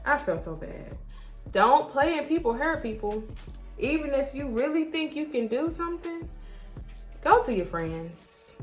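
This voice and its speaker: A young woman chatting casually to the camera as a vlogger, animated, slangy and emphatic, often repeating herself. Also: on the high side. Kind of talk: casual storytime chat to camera.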